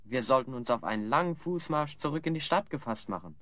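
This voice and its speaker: An actor playing a level-headed, dryly ironic adventurer hero in an audio drama. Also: male voice, mid-range voice, lively intonation, fast talker, a bit bright, no room sound, natural voice. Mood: resigned, reassuring. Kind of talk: German audio drama acting.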